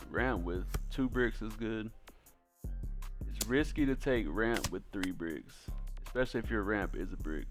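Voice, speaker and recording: A man giving casual, slangy commentary as host of a card game video, talking informally to his viewers. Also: on the low side.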